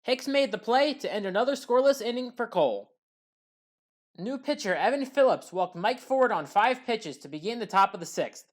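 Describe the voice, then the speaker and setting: A woman giving a scripted play-by-play recap of baseball highlights in a measured, factual way. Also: expressive. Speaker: highlights commentator.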